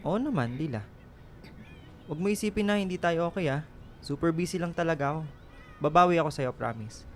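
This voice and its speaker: An actor playing a busy, defensive boyfriend in a Tagalog radio drama. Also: male voice, a little high, lively intonation, brisk, pauses often, slight background noise, natural voice. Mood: placating. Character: busy, defensive boyfriend absorbed in the family business.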